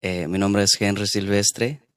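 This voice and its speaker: A man with a very low, smooth, resonant voice hosts a church podcast. He reads scripture and talks hesitantly, filling gaps with long pauses. The sound is close and dry. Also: steady tone, dry recording. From Guatemala. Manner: hesitant, many drawn-out filler pauses. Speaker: podcast host. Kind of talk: church podcast hosting, reading scripture aloud.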